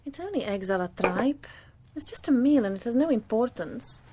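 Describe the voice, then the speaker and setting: An actress playing a detective's wife in a radio drama, dryly teasing and matter-of-fact. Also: female voice, a little high, animated, normal speed, mellow, husky. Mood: wry, domestic. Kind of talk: naturalistic radio drama acting.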